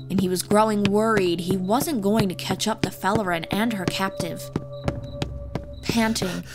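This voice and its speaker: Woman narrating fantasy over music. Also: mid-range voice. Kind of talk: scripted fantasy audiobook narration. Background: background music.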